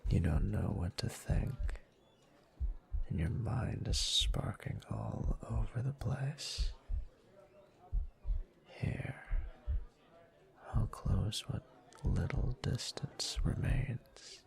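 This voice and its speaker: A man playing a teasing, dominant bully turned seductive lover in an intimate whispered roleplay audio, murmuring in a slow, smug, breathy way. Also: on the low side, animated, full character voice. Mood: seductive, hungry.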